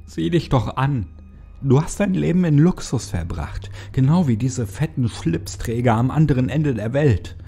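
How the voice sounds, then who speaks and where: Narrator as desperate bitter man, defiant, raised pitch, gravelly edge, impassioned horror-story acting, music behind. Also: animated, moderate pace, warm tone. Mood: furious, bitter. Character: despairing, drugged Egyptian man, bitter and defiant.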